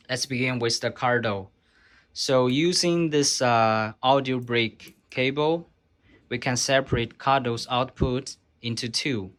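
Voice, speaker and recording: A man's voice: medium pitch, fairly bright tone. A man who speaks English as a second language, presenting an informal step-by-step tech tutorial in simple, explanatory phrases. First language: not English.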